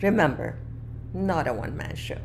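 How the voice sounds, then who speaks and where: A woman podcast host, not a native English speaker, telling her own story in a reflective, earnest way, moving between emphatic lines and hushed ones. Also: deep voice, big pitch swings, moderate pace, gravelly, slight background noise. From moved to Canada as a child, not a native English speaker. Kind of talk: personal storytelling monologue.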